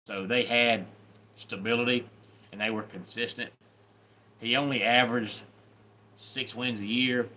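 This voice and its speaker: A man's voice: on the low side, bright, forceful tone, slight background noise. A man with a Southern accent, hosting a live stream in a folksy, welcoming manner. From Southern United States. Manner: folksy and welcoming, greets viewers by name. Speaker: YouTube channel host. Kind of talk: casual live-stream chat.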